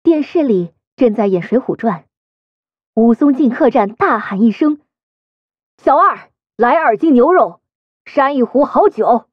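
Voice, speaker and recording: A woman's voice: fairly high pitch, lively intonation, measured pace, no echo. A woman reading a story expressively and acting out the dialogue, filtered to sound muffled behind a door. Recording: muffled, dull band-limited sound, very dry.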